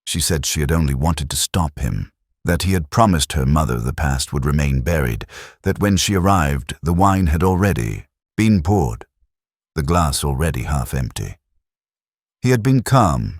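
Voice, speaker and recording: Man, very low gravelly voice, expressive intonation, solemn literary detective narration, measured phrasing, dry sound. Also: dry recording. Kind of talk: first-person scripted detective story narration.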